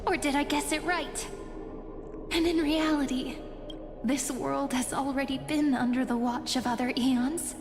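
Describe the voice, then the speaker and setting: High-voiced playful young heroine, reverberant. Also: female voice, steady tone, measured pace. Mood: teasing, probing. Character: playful, brave, wistful young heroine demigod. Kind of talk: video game voice acting. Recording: long reverb with music behind.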